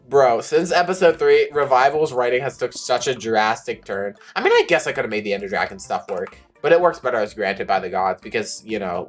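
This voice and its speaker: A young streamer with a slightly raspy voice rambles through a casual chat. They rant with animated energy and toss out playful insults. Faint music plays underneath. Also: mid-range voice.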